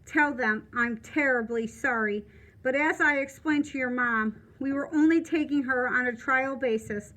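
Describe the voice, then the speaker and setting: A woman reading the part of an apologetic but firm male school headmaster in a storybook, formal and brisk. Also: high-pitched, fairly bright tone. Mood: formal, regretful.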